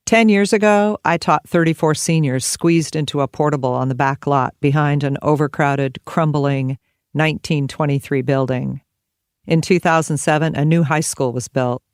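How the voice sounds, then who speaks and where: Clear-voiced American woman reading an audiobook. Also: low-pitched, dry recording, studio quality. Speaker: teacher and author narrating her audiobook. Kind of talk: scripted audiobook narration.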